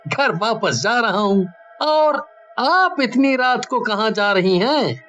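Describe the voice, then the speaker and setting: A man's voice: very high voice, animated, takes it slowly, a bit bright, lo-fi sound, full character voice. An actor playing a plain-spoken village man in a horror story. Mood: friendly. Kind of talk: animated horror story dialogue.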